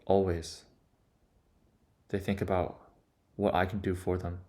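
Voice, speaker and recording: This is a man with a low voice that stays fairly flat in its melody. He speaks in short, deliberate phrases, earnest and confessional. It sounds like a personal monologue in which he reflects aloud. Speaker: vlogger.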